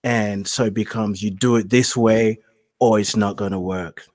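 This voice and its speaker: A man speaking in a measured, reflective way about spiritual practice, laughing easily between thoughts. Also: on the low side, steady tone, a little mellow, dry recording.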